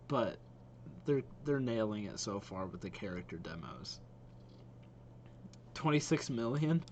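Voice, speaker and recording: A man's voice: medium pitch, darkish tone, slight background noise. A young man hosting a reaction video, musing aloud in casual, informal commentary and often repeating his exclamations.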